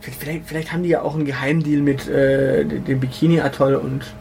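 A man co-hosting a casual comedy podcast, rambling through deadpan jokes with many fillers and restarts. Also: medium pitch, a little fast, creaky. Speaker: podcast co-host.

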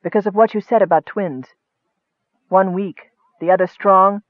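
A man's voice: high voice, fairly even intonation, moderate pace, dry recording, natural voice. A man voicing a noble, resolute warrior woman in a fantasy audiobook, earnest and solemn, on a muffled recording. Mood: gentle, trusting.

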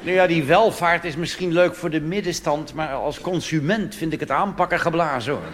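A man's voice: fairly high pitch, moderate pace. A Dutch man performing a chatty, wry cabaret monologue full of asides, recorded live on stage. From Netherlands. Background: audience laughter and applause.

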